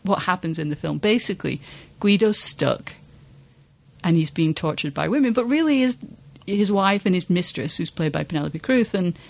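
A woman's voice: lowish voice, lively intonation, moderate pace, gravelly, dry recording. A woman co-hosting a podcast, chatting casually about film in an emphatic, wry, informal way. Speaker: podcast co-host.

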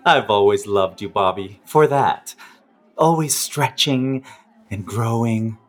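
Slow, flirtatious man. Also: on the low side, expressive. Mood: flirtatious, teasing. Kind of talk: audio musical comedy acting.

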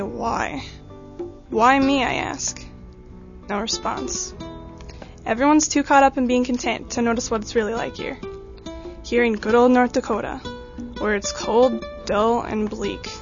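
A woman reads a poem aloud in a higher voice, questioning and wistful. The sound is a little dull, and guitar plays clearly behind her. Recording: slightly dull recording with clear guitar music.

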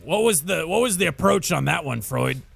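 A man playing an exasperated, embarrassed demon son with a gravelly voice, whiny and hesitant, in improvised comedy. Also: fairly high pitch, expressive, normal speed, a bit bright, no room sound, heavily acted. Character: mortified, exasperated young demon son from hell.

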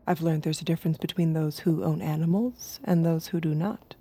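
A woman playing an obedient, observant android escort, speaking in a measured, intimate way in an audio drama. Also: sits low, fairly even intonation, warm tone, husky. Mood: detached, wistful. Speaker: narrator and lead character.

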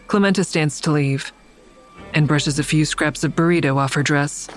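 A woman's voice: low-pitched, moderate pace, natural voice. A woman playing a guilt-ridden, weary heroine who narrates her own story in an audio drama, blunt and subdued. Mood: weary, pressing. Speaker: narrator and lead actor. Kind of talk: audio drama first-person narration and dialogue.

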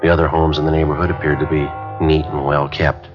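A terse police detective in an old radio drama, reading off times and facts in a clipped, deadpan way. His voice sits very low. The broadcast sounds dull and lo-fi, with music under it. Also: fast. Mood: flat narration. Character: terse, matter-of-fact male police detective, adult. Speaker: detective and narrator. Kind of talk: 1950s radio drama acting.

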